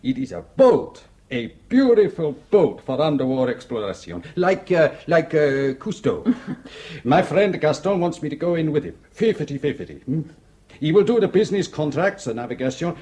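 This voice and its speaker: A man's voice: fairly high pitch, normal speed. An actor playing a charming, flirtatious Frenchman in a radio drama, speaking English with a French accent and laughing often. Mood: dreamy, amused. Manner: laughing, teasing, flattering. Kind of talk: 1960s radio drama acting.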